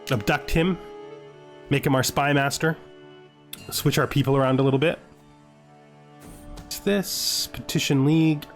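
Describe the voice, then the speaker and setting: A man's voice: mid-range voice, steady tone, a little slow, pauses often, soft background music. A man giving casual let's-play commentary on a strategy game, talking through his decisions to himself and often checking them with a questioning tag. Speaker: gaming video host playing a strategy game.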